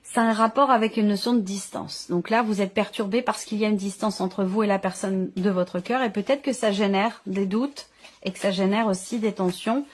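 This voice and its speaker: A woman's voice: mid-range voice, fairly even intonation, moderate pace. A woman giving an unscripted intuitive tarot reading, reflective and explanatory, often rephrasing her points. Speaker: tarot reader, channel host.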